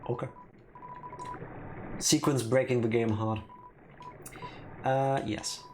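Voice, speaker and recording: A man's voice: mid-range voice, level tone, slow, background noise. A man giving casual commentary while playing a game on a live stream. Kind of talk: casual let's play commentary. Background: game music.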